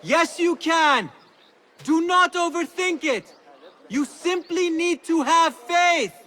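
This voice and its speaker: An actor playing an earnest, kind and modest young assassin in a video game cutscene. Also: male voice, very high voice, takes it slowly, sharp tone, heavily acted. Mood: encouraging shouts.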